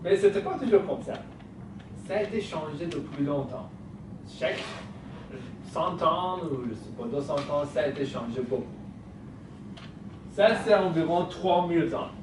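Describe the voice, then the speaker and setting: A man's voice: fairly high pitch, animated, normal speed, long pauses. A man from Iran with an Iranian accent and Persian as his native language, giving a humorous personal storytelling talk to an audience. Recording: echoey room, distant mic, clearly noisy.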